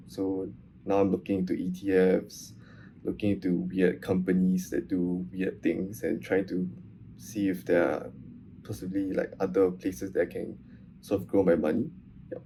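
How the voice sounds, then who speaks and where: A man, a university student giving relaxed answers in an interview, with hesitant ums. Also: sits low, vocal fry, a little hiss or hum, rough recording. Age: 20s.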